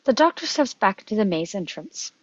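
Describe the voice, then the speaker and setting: A woman's voice: medium pitch, very animated, normal speed. A woman reading stage directions as scripted narration at a table read, on a slightly dull microphone.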